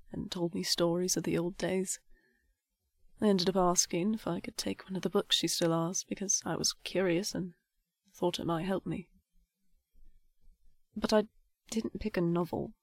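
A woman's voice: on the low side, fairly even intonation, normal speed, a little mellow, no room sound, clean studio sound. A young woman playing a disillusioned, grieving teenage priestess in an audio drama, speaking in a formal, articulate way. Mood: weary, grieving. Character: disillusioned nineteen-year-old priestess, defiant, grieving, bitter.

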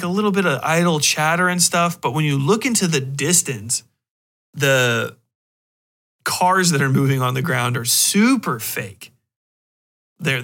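A man with a somewhat high, bright and forceful voice holds forth as a podcast host. He is casual and opinionated, putting things bluntly and swearing freely in loose conversation. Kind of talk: casual opinionated discussion of video games.

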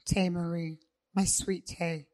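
A woman reading the part of a possessive young man, low and firm. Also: little pitch movement, takes it slowly, a little mellow.

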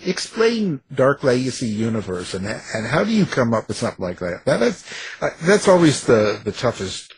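Male interviewer, rough husky voice with animated swings, halting casual questions. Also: mid-range voice, no echo.

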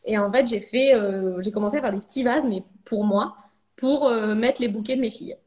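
A woman's voice: fairly high pitch, brisk, darkish tone. Hesitant French woman ceramicist. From France. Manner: hesitant, many fillers and restarts. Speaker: ceramic artist guest. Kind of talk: casual podcast interview.

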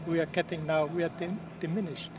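A man in his late seventies, born in Austria, speaking in an earnest, halting way with restarts, interviewed outdoors at a public ceremony. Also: fairly high pitch, fairly even intonation. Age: 70s. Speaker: war veteran interviewee. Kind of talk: outdoor interview, recounting memories. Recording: distant mic, noisy outdoors.

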